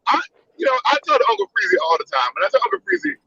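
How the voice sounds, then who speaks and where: A man in his thirties rants with heat and emphasis, hammering the same phrases over and over. His voice is very high for a man and swings widely, with a gravelly edge and a bright tone. It comes through a dull, lo-fi mic. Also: wildly swooping pitch, very fast, no room sound. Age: 30s. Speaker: podcast panelist. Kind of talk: impassioned fan rant.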